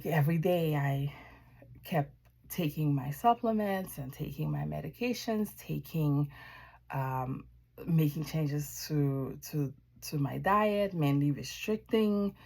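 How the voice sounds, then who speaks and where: A woman from Haiti shares a personal testimony with viewers in a reflective, deliberate way, often pausing mid-sentence. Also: low voice, a little mellow. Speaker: wellness practitioner giving testimony.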